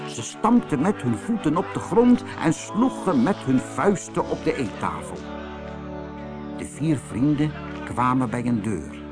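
A man narrating a children's fairy tale in an expressive, dramatic storytelling manner. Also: mid-range voice, measured pace, music in the background. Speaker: audiobook narrator.

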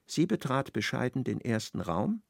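A man narrating a radio play in an ironic, expressive storytelling manner. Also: mid-range voice, moderate pace, gravelly, no room sound, studio quality.

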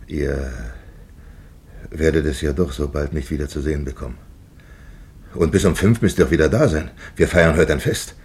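A man's voice: extremely low pitch, moderate pace, pauses often, darkish tone, a little hiss or hum. A man acting in a German radio play as a stern, solemn older village father, grave and terse in his commands. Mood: grave, commanding.

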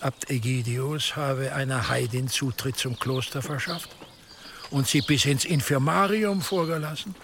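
An actor playing an imperious, slyly menacing church dignitary in a medieval radio drama, speaking deliberately and with condescending insinuation. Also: male voice, fairly high pitch, noisy background. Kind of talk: German radio drama acting in a medieval setting.

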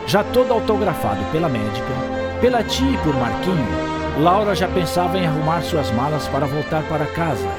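An actor playing the narrator of a radio serial, telling the story expressively with a Brazilian accent. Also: male voice, fairly high pitch, very animated, brisk, over loud music. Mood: storytelling. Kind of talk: scripted radio drama narration.

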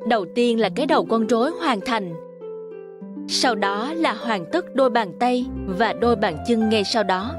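A woman's voice: fairly high pitch, moderate pace, over music. A woman reading scripted narration for a children's fairy tale.

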